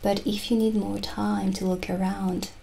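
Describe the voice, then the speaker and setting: A middle-aged woman playing a gentle, mystical witch who sells potions, soft-spoken and soothing in an improvised ASMR roleplay. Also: mid-range voice, flat delivery, measured pace, slight background noise. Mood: friendly, unhurried. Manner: soft-spoken, soothing, lapsing into breathy near-whispers. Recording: soft background music. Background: soft music.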